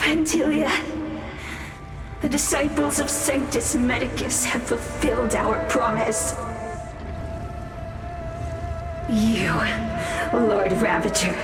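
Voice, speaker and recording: A woman's voice: on the high side, fairly even intonation, slow. A woman playing a proud, fanatical cult leader craving immortality, with solemn, grandiose delivery in a dramatic game style. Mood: desperate, demanding. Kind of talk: dramatic video game acting. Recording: echoey, distant, under loud music.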